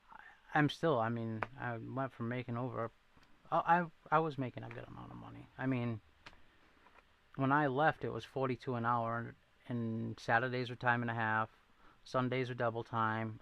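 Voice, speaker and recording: A man hosting a casual chat stream, with a light but clear Boston accent from his New England upbringing. His voice is gravelly, with fry at the ends of his phrases, and his pitch sits at an ordinary middle. He rambles, jokes at his own expense and keeps answering viewers. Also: fairly bright tone. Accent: thin Boston accent. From New England, near Rhode Island. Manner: rambling, self-deprecating, reacts to chat. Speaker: livestream host.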